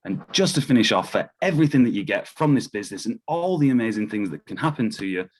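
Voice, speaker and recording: A man with a low voice delivers a business presentation on an online call. He speaks persuasively and directly to the listener, posing rhetorical questions in a motivational style. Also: expressive, fast, rough recording.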